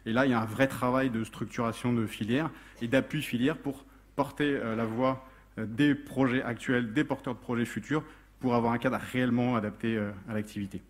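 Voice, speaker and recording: A man presenting technical material at a lectern in a lecture hall, explaining step by step with hesitant pauses and repeated words. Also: mid-range voice, fairly even intonation. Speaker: conference speaker, technical adviser. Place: indoor lecture hall with seated audience.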